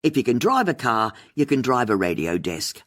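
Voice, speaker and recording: An upbeat, breezy woman radio presenter who talks to callers with easy confidence, as a male reader puts her on. Her voice lifts a little higher and louder, with bouncy, swinging intonation. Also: sing-song, moderate pace.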